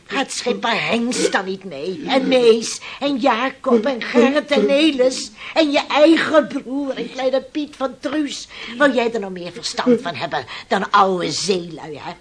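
A woman's voice: on the high side, lively intonation, measured pace, hardly any pauses, a crowd in the background. An actress playing a stern, desperate middle-aged fisherman's mother in a Dutch radio play, by turns bullying and coaxing. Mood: scolding, angry. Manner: scolding and exclamatory, turning to pleading.

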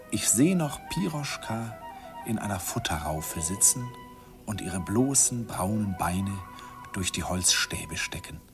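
An actor playing a nostalgic older man who recalls his shy first love in an unhurried, wistful radio play narration. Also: low voice. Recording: vintage radio recording with music behind.

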